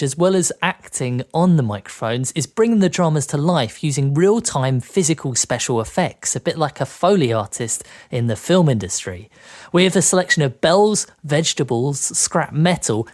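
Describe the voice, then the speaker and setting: A man's voice: on the high side, expressive, normal speed. A man co-hosting a podcast in a scripted presenting style. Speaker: podcast co-host.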